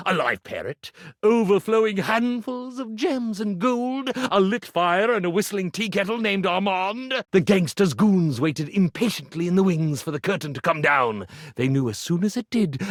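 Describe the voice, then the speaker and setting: A vain, theatrical magician of a living ironing board in a video game. His highish voice leaps flamboyantly up and down through melodramatic, flowery phrases and stumbles into flustered hesitation. The sound is very dry, with no room around it. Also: no room sound. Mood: dramatic storytelling. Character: theatrical ironing board posing as grandiose stage illusionist. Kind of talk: video game character voice acting.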